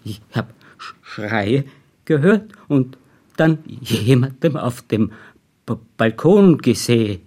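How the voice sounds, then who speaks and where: An actor playing an awkward, earnest young student who stutters heavily and struggles through each halting phrase in a radio crime drama. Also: male voice, medium pitch, very animated, darkish tone. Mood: nervous, halting. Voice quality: heavy stutter. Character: awkward, earnest male physics student, badly stammering witness.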